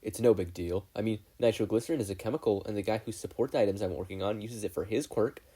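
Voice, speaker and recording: A man voicing a calm, unbothered teenage boy inventor in a flat, deadpan manner. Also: lowish voice, fast, slightly rough.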